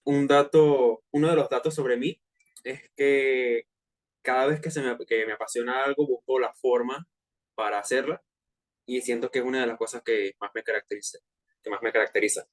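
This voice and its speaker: A young Venezuelan man in his twenties talks through a personal finance session for fellow students. His voice sits at an ordinary middle pitch, and his delivery is loose and conversational, with plenty of hesitant fillers and casual slang. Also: moderate pace. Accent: Venezuelan Spanish. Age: 20s. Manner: relaxed and chatty, with frequent filler hesitations and slang. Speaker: student presenter of a finance workshop. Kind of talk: casual online presentation to peers.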